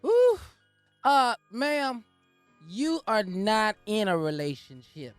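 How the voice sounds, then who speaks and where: A man co-hosts comic radio banter in a high, swooping voice. He is playful and emphatic, repeating his points. Music runs underneath. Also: big pitch swings, takes it slowly. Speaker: radio co-host.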